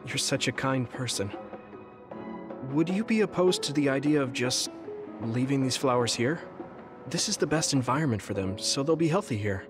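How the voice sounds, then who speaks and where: Man voicing a young male game soldier, medium pitch, kind, protective, earnest, music behind. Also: animated, moderate pace, close to an everyday voice. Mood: gentle, reassuring. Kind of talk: anime-style video game dub acting.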